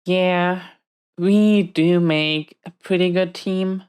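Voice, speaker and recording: A woman's voice: lowish voice, fairly even intonation, slow pace, a little mellow, smooth. A woman playing a protective, hot-tempered but tender supervillain in prison, in an intimate audio roleplay, speaking defensively and hesitantly to an unseen listener. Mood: soft, relaxed.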